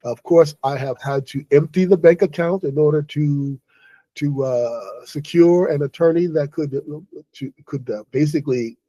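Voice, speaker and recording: A middle-aged man from New City, speaking to his viewers in a halting, casual monologue and sounding weary after a stressful day. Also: a little high, mellow, no echo. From New York City. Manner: halting, many restarts and self-corrections. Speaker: online English host.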